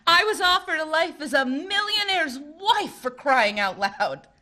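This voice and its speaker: Woman acting a hot-tempered marina owner, high bright voice, yells a lot. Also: sing-song, heavily acted. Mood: bantering. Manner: fiery, quick to yell. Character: feisty woman who owns a failing marina. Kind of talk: stage-play acting.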